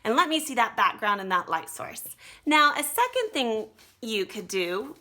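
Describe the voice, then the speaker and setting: An American woman gives a casual drawing lesson for children. Her voice is bright and forward, pitched a little high. She speaks directly to her young pupils, encouraging them as she demonstrates each step. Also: big pitch swings, normal speed. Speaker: art teacher giving a drawing lesson to children.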